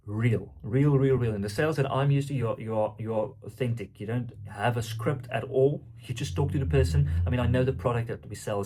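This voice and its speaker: A man's voice: medium pitch, a little mellow. A man from South Africa whose first language is Afrikaans, speaking English with an Afrikaans accent in an earnest, rambling, unscripted vlog monologue. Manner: rambling, earnest, thinking aloud. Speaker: vlogger and aspiring coach.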